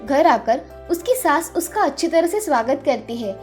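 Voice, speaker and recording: A woman's voice: high-pitched, lively intonation, normal speed, darkish tone, faint music behind. A woman storyteller reading scripted narration for a moral tale.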